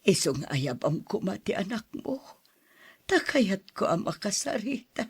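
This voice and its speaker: A woman playing a remorseful, frail elderly grandmother in a radio drama, with a husky, breathy voice. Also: medium pitch, big pitch swings, a little slow, heavily acted. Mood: remorseful, pleading. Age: older. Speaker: drama actor.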